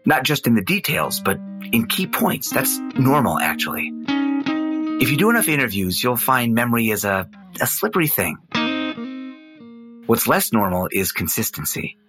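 An actor playing a fascinated investigative storyteller, narrating a documentary-style fiction podcast in a musing, deliberate way with dramatic pauses. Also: male voice, medium pitch, very animated, lightly acted. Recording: guitar music under the voice. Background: plucked guitar music.

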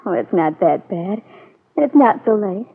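An actress playing a restless, evasive young niece in a vintage radio soap, brittle but polite. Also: female voice, mid-range voice, sing-song, normal speed, gravelly, slightly rough, heavily acted. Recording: muffled echoing old radio recording, off-mic.

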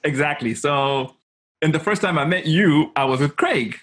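A man's voice: on the high side, normal speed, a bit bright. A man from Zimbabwe, a guest telling personal stories in casual, emphatic conversation and often checking in with his listener. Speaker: interview guest, consultant and coach.

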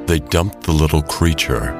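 A man narrating a scripted audio drama in a dramatic, deliberate storytelling way. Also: extremely low pitch. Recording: loud music bed behind the voice. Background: loud music.

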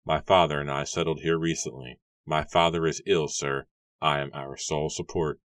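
A man's voice: rumbling low, steady tone, normal speed, a bit bright, no echo, clean studio sound. A man voicing a confident, cheerful young farmhand who speaks politely and eagerly.

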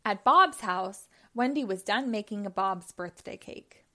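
A woman reading a story aloud for young children in a deliberate storytelling style. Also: mid-range voice, expressive, sharp tone.

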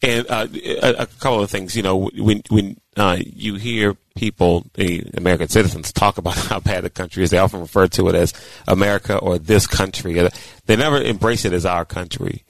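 A man co-hosts a talk show in a low, gravelly voice. He is friendly and laughs easily. He restarts his sentences often. The sound is close and dry. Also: fairly even intonation, fairly quick, few pauses, no room sound, rough recording. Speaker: co-host. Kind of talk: casual radio talk show conversation.

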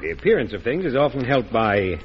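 An actor playing a polite, insinuating financier who feigns humility, in an old radio drama. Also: male voice, medium pitch, sing-song, fairly quick, soft background music, close to an everyday voice. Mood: courteously persuasive. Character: smooth-talking, scheming, falsely humble male financier. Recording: dull old radio recording with hiss.

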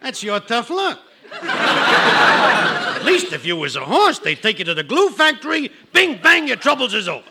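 An actor with an American accent playing a needling, wisecracking factory pal in a classic radio comedy, using slangy working-class diction. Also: male voice, extremely high pitch, wildly swooping pitch, unhurried, long pauses, very noisy, heavily acted. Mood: mocking. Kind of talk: classic radio sitcom acting.

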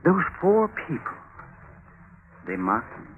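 A thin, faintly noisy old radio recording of a timid, eager little fellow confessing to a crime. He gropes hesitantly for words, with a pitch that swings widely. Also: sing-song, slow pace, narrow, tinny audio. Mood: agitated, groping. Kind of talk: 1950s radio drama acting.

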